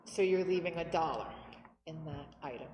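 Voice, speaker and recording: A woman's voice: low voice, moderate pace, darkish tone. A woman chairing a town budget committee meeting, reading out budget lines and calling votes in a businesslike way on a table microphone. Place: town hall meeting room. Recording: echoey hall, faint background noise.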